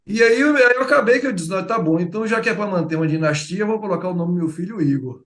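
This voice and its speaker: A middle-aged man with a Bahian accent chats as a guest on an interview show. His voice sits fairly high, and he talks in a loose way, full of fillers and repeated words. The room around him sounds echoey. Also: very fast, fairly bright tone. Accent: Bahian Portuguese. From Bahia, Brazil. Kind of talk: casual interview chat.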